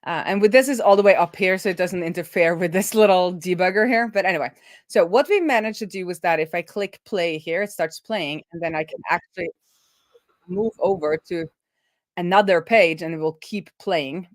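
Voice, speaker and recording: A woman with a fairly low voice hosts a casual coding livestream. She talks informally, like a developer telling things to regular viewers, with animated storytelling. The sound is close and dry. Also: moderate pace, dry recording. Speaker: livestream host and web developer. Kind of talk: casual live coding stream chat.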